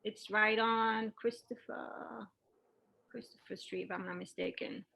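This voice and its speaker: A middle-aged woman shares personal experience as an interview guest. Her voice is bright and forceful at an average female pitch, and her delivery is candid and informal. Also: steady tone, unhurried, creaky, husky. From Puerto Rico and Brooklyn, New York. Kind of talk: personal story told in an interview.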